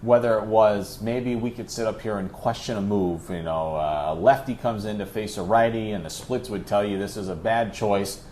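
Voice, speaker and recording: A man's voice: on the low side, normal speed, hardly any pauses, darkish tone, a little hiss or hum. Emphatic male sports announcer in conversation. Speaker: radio play-by-play announcer, interview guest.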